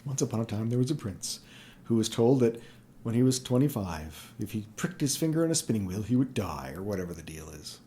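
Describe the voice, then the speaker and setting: A woman's voice: extremely low pitch, very animated, brisk, mellow, a little hiss or hum. A woman, chatting casually with her partner as a podcast co-host. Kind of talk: casual chat between a couple. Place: at home in self-isolation.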